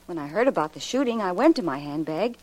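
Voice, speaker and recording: An actress playing a stubborn, guarded young secretary, crisp and defensive, in a 1940s radio mystery. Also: female voice, lowish voice, expressive, quick pace, darkish tone. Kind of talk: late 1940s radio drama acting. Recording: noisy old 1940s radio recording.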